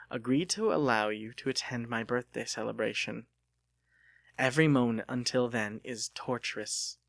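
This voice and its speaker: A man's voice: medium pitch, a bit bright, no room sound, natural voice. A man voicing an earnest, lovelorn young woman with formal phrasing in an audiobook.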